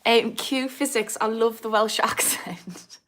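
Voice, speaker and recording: A woman's voice: fairly high pitch, takes it slowly, fairly bright tone. Chatty Geordie woman on a livestream. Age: 30s. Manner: chatty, self-mocking, laughs often. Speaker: livestream host answering viewer comments. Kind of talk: casual live chat.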